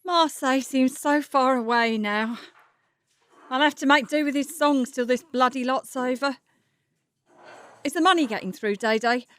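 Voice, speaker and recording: An actress in an audio drama playing an imperious, bawdy wartime singer who swears, orders people about and gushes endearments. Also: female voice, sits high, normal speed, long pauses, a bit bright. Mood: fond, weary. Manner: bossy, sweary, gushing endearments. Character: Edith, imperious, bawdy, theatrical female singer in wartime France.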